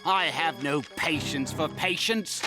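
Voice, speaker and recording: A man voicing a fiery, idealistic revolutionary writer in an animated series, blunt and rhetorical. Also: a little high, slow pace. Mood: defiant. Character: fiery, idealistic, ragged male revolutionary pamphleteer. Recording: music behind the voice.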